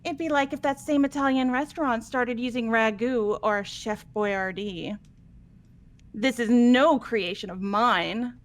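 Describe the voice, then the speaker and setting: A woman's voice: a little high, measured pace, close to an everyday voice. A woman voicing a manic, flamboyant young female serial killer in a game, gleefully boastful, with theatrical, taunting delivery. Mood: gleeful menace. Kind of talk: amateur game voice acting.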